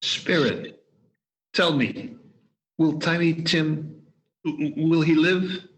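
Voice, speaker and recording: Guy acting a scared old miser, begging slowly and haltingly, kinda high voice, radio play. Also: a bit bright, heavily acted, low-quality recording. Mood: anxious pleading. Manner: pleading, halting, anxious. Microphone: video call.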